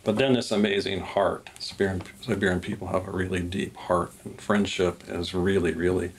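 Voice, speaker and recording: A man's voice: low voice, little pitch movement, normal speed, slight background noise. A man telling stories with enthusiasm in a documentary interview.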